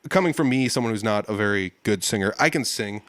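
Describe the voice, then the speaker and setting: A man's voice: on the low side, lively intonation, quick pace, fairly bright tone, no room sound. A man hosting a podcast, chatting in an easygoing, self-deprecating way. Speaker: podcast host. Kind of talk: casual chat.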